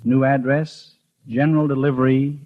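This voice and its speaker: A man's voice: medium pitch, flat delivery, normal speed, phone-quality sound, close to an everyday voice. An actor playing an anxious, imaginative postman who narrates his suspicions and stammers with others, in 1950s radio drama style. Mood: anxious brooding. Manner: hesitant and stammering when speaking to others. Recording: 1950s radio broadcast with music.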